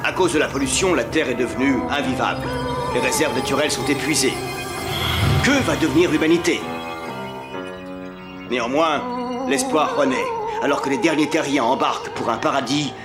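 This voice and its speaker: A man's voice: medium pitch, animated, normal speed, pauses often, close to an everyday voice. A man playing a grave, theatrical announcer in a bombastic sci-fi film trailer within a cartoon. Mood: grave, epic. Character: dramatic film-trailer announcer of a doomed Earth and space mission. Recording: music behind the voice. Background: loud music.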